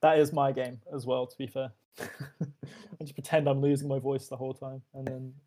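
Mellow man hosting a podcast. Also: medium pitch, little pitch movement. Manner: chatty, steers the talk, quick asides. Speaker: podcast host. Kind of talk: casual chat leading games.

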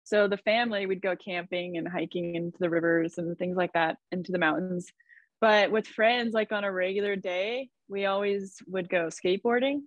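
A woman's voice: on the low side, normal speed, dry recording. Upbeat woman guest chatting away, laughs a lot, dull dry sound like a remote call. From Idaho, USA. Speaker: podcast guest. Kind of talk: casual conversation.

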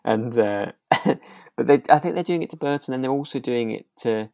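A woman's voice: extremely low pitch, normal speed, vocal fry, darkish tone, no room sound, studio quality. A woman co-hosting a podcast, chatting casually about a drama in a hesitant, giggly way, thinking aloud. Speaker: podcast co-host. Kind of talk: casual chat reviewing a radio drama.

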